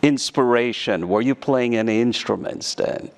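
A man's voice: mid-range voice, moderate pace, creaky, clear, resonant tone. A middle-aged man from Belize interviewing a guest onstage before an audience, speaking graciously and with emphasis. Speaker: interviewer, university provost.

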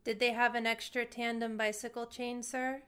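A woman playing a meek, dutiful female sidekick in a comic radio play, soft-spoken and deadpan. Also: a little high, moderate pace, clear, resonant tone, lightly acted.

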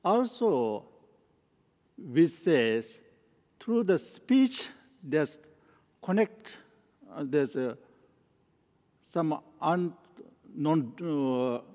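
A man from Nepal, a teacher, giving a spoken teaching in slow, halting English full of restarts. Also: medium pitch, darkish tone. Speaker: Tibetan Buddhist teacher. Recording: long hall reverb.

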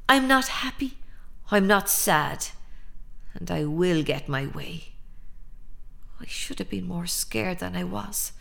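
Middle-aged mother, Irish, defiant, brooding, unhurried, plain-spoken, audio drama. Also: on the low side, gravelly, fairly bright tone, exaggerated voice.

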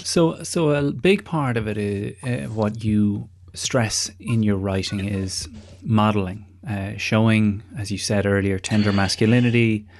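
A man's voice: fairly low pitch, steady tone, measured pace, a crowd in the background. A man hosting a podcast interview in a wry, discursive, conversational way, with some casual swearing. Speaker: podcast host.

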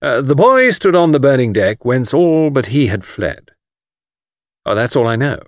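A man voicing a glib, flippant gentleman adventurer and tour guide in an audiobook. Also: medium pitch, very animated, dry recording, studio quality, voice pushed up, close to an everyday voice. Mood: playful. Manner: offhand, glib, brisk. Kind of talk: audiobook character voice.

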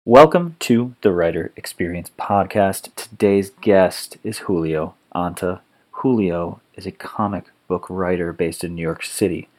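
A man hosting a podcast, asking his guest questions in a friendly, relaxed way. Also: deep voice, unhurried, hardly any pauses. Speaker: podcast host. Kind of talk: podcast interview.

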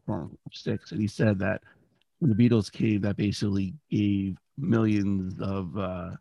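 A man's voice: fairly low pitch, fairly even intonation, unhurried, gravelly, dark tone, no room sound, lo-fi sound. A man hosting a podcast interview in a casual, enthusiastic way while he is losing his voice. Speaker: podcast host.

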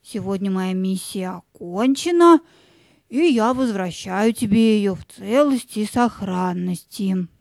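Casting: actress as pompous bread spirit, audio play; very unhurried, mellow, solemn and loyal. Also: female voice, mid-range voice, expressive, creaky, no echo. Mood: solemn, ceremonious. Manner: pompous, ceremonious.

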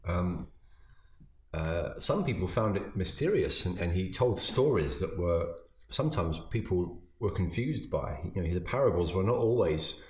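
A man, a pastor, casually summarizing his sermon, often halting and repeating words as he thinks. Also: low voice, lively intonation, a little mellow. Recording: roomy echo.

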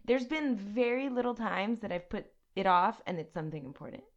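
Animated young woman, raspy today. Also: medium pitch, fairly quick. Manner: animated, playful, acts out quotes. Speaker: podcast co-host. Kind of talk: casual chat. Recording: dull lo-fi podcast audio.

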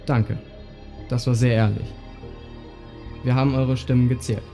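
A man's voice: mid-range voice, little pitch movement, unhurried, mellow. A man narrating a scripted audio play in short, direct appeals to the listener. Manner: short imperative phrases inviting listeners to imagine. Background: music.

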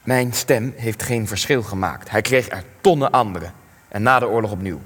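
An actor playing an old, world-weary resistance veteran in a radio play, speaking formally and with dry, hesitant irony. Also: male voice, lowish voice, moderate pace, a bit bright, slight background noise, close to an everyday voice. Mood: weary, rueful. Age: young adult. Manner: formal, dryly ironic, hesitant. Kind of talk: Dutch-language radio play acting. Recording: long echoing hall, music underneath.

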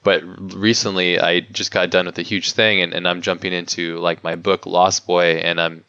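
A man's voice: low-pitched, flat delivery, normal speed, vocal fry, sharp tone, clean tone, no echo, dull audio. A man in his thirties hosting a podcast interview, rambling with frequent restarts and laughs. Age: 30s. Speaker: podcast host. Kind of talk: casual interview chat.

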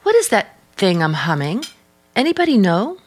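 Casting: earnest young niece for vintage radio thriller, very expressive, insistent. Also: female voice, medium pitch, moderate pace. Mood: puzzled, insistent. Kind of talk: mid-century American radio drama acting.

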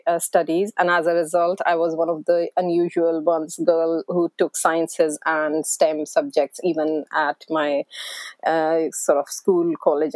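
A woman's voice: lowish voice, mellow, dry recording. A middle-aged woman with an Indian accent, not a native English speaker, being interviewed in a hesitant manner with many fillers. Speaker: guest. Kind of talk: interview conversation.

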